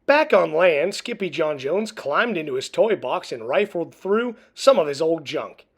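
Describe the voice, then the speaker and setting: A woman narrating a children's picture book aloud in an expressive, deliberate storytelling manner. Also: low-pitched, breathy edge. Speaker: storybook reader.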